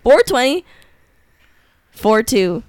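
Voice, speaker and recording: A woman's voice: on the high side, big pitch swings, slow, darkish tone, no echo. A woman in her twenties in casual group chat, teasing and joking. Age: 20s.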